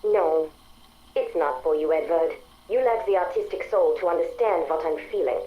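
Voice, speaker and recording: An actress playing an earnest, proud artificial intelligence, speaking calmly and formally in a game audio drama. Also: female voice, deep voice, moderate pace, muffled recording, natural voice. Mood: cool, probing. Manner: calm, precise, formal.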